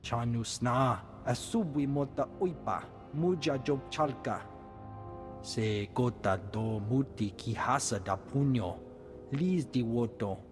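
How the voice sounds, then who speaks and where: A man's voice: medium pitch, slow, natural voice. A man voicing a scheming, glib alien in a video game, speaking in invented alien syllables. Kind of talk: video game creature acting. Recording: long reverb with music behind.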